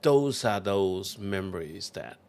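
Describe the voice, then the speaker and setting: A chatty male host with a low, gravelly voice leads a casual interview with enthusiasm, filling pauses with lots of ums and uhs. His low pitch and heavy vocal fry stand out. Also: expressive, a little mellow. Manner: chatty, enthusiastic, uses many fillers. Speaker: chef, podcast host.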